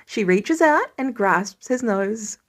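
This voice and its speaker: A woman narrating fiction in an expressive scripted reading style, as an audiobook reader. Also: fairly high pitch, slow pace.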